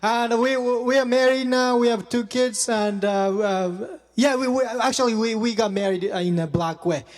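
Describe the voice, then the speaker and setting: Guy doing standup, high voice, lots of uh and restarts, echoey club hall. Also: steady tone. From Japan. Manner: self-deprecating, halting delivery with many fillers and restarts. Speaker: stand-up comedian. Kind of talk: stand-up comedy set.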